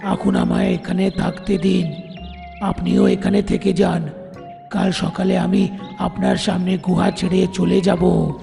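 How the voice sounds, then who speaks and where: A man voicing a sly, menacing skeleton ghost in a cartoon, with a gravelly, croaky voice. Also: high-pitched, little pitch movement, a little slow, mellow, exaggerated voice. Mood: sly, polite. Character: scheming cave ghost shaped like a monkey skeleton. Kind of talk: animated cartoon voice acting. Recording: long cavernous reverb over music.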